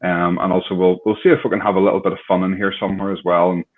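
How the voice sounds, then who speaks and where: A man's voice: low-pitched, level tone, rapid-fire. A man hosting an online fan talk show, chatting casually and rambling a little, with frequent restarts. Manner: friendly, rambling, restarts often. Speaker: host. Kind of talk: casual chat. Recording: tinny phone-like line.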